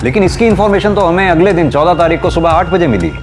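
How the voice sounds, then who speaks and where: A man playing a brisk, authoritative senior police inspector in a TV crime serial, methodical and commanding. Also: high voice, natural voice. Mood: grave, deliberate. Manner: commanding, methodical, gives clipped orders. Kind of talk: Hindi TV crime serial acting. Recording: dramatic music under dialogue.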